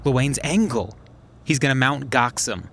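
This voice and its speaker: Over some background noise comes an actor as a sharp male schemer in an audio drama. His voice sits at an ordinary middle pitch. He lays things out conversationally and methodically, like a man thinking through a plan. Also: normal speed, close to an everyday voice. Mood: explaining intently. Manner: explanatory, conversational. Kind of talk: naturalistic audio drama acting.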